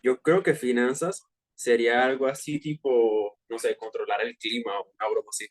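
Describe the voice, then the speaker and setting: A man's voice: medium pitch, normal speed. Young Venezuelan man, chatty and casual. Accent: Venezuelan Spanish. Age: 20s. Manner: relaxed and chatty, with frequent filler hesitations and slang. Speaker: student presenter of a finance workshop. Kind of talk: casual online presentation to peers.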